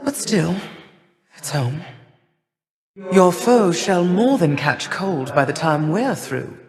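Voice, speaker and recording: A woman playing an imperious, cold older goddess of winter and harvest in a video game, with stately, formal, measured delivery. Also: fairly low pitch, lively intonation, a crowd in the background. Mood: stern, regal. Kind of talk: theatrical video game character lines. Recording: long reverberant hall echo.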